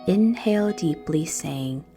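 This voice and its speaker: A woman with a low voice guides a prayerful meditation. She speaks very slowly and soothingly, leaving measured pauses between phrases. Gentle guitar music plays softly behind her. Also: expressive. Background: soft guitar music.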